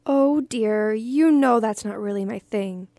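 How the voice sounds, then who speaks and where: Soft, high-voiced mother played by a woman. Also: unhurried, dry recording, clean studio sound. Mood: kindly. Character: gentle, reassuring mother of a teenage boy. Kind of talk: hobby audio drama acting.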